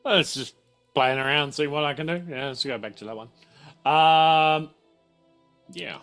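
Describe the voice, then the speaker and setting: A bright, forceful man with a slightly high voice is running a tabletop game. He rambles casually, swears and cuts himself off, with music playing behind him. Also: measured pace. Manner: rambling, sweary, self-interrupting. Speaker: game master.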